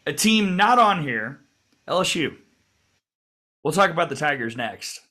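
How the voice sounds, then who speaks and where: A man co-hosting a sports podcast, his voice hoarse, upbeat and quick to laugh. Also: fairly high pitch, big pitch swings, pushed and bright. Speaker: podcast co-host.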